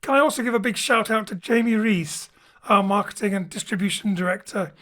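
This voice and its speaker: A man's voice: high-pitched, a little fast, pushed and bright. A man closing a live audio drama stream, thanking the cast and giving announcements in an upbeat manner. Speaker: producer closing the show. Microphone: home studio mic.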